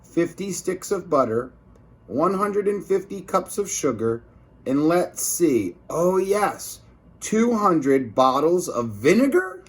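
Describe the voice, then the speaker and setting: A man voicing a cheerful, eager school lunch lady from a children's storybook, counting things off in a drawn-out way. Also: high-pitched, sing-song, slightly rough, exaggerated voice. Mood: pondering, deliberate. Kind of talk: children's storybook character reading.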